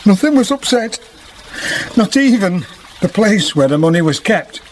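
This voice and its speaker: A man's voice: high voice, big pitch swings, measured pace. A humble, troubled weaver speaks. He sounds earnest and wounded, in an old-world radio play with slight noise behind him. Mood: shaken, earnest. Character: distraught robbed village weaver, earnest and humble. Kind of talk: period radio drama acting. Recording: atmospheric radio drama, faint background noise.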